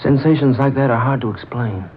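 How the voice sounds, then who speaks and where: Actor as courteous gentleman, tinny old radio. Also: medium pitch, brisk, natural voice. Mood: pensive, puzzled. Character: Duke, courteous gentleman visitor, puzzled and smitten. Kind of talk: 1940s radio acting. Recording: muffled, hissy 1940s radio recording.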